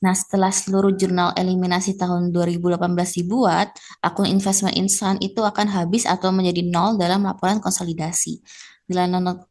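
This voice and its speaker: A woman's voice: on the low side, a little mellow. A young woman giving an explanatory class presentation as a student. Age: young adult.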